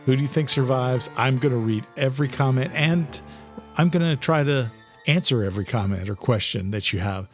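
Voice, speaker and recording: A man's voice: medium pitch, a little fast, soft background music. A man reading scripted horror thriller narration as an audiobook narrator.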